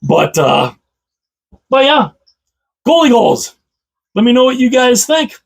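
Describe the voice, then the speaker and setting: A man with a slightly hoarse voice, chatting casually about hockey as a channel host, rambling and repeating himself with enthusiasm. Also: extremely high pitch, big pitch swings, slow pace. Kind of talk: casual solo commentary to camera.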